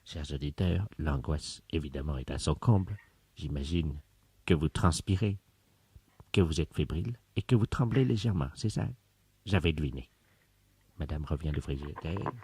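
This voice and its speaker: A man playing a pompous scientist in a deadpan prank call, speaking solemnly and pedantically with deliberate articulation. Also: a bass voice, breathy edge, dry recording, full character voice. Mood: hushed suspense. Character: pompous male scientist investigating a virus outbreak for radio. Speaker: prank caller. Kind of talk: deadpan prank phone call with hushed radio commentary.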